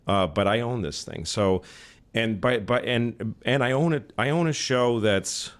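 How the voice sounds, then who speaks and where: A man's voice: medium pitch, a little slow. A man in his forties talking casually as a podcast guest, rambling and restarting his sentences, often reaching for sports comparisons. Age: 40s. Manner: rambling, self-interrupting, with sports analogies. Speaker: comedian guest.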